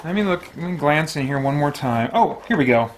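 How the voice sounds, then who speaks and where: A man hosting a solo tabletop game, giving casual, unscripted commentary and haltingly thinking aloud as he plays. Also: on the high side, lively intonation, background noise.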